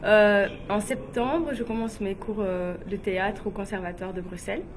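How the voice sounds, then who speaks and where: A young woman from Guinea telling personal stories in a relaxed, chatty way, with frequent fillers and light laughs. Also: medium pitch, moderate pace, background noise. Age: young adult. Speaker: interviewee, beauty pageant runner-up.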